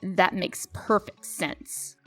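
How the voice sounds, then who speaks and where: A woman playing a stern, indignant sister with a sharp, confrontational tongue in a comic dub. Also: on the low side, slow pace, faint music behind. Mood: sarcastic, impatient. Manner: sarcastic, confrontational.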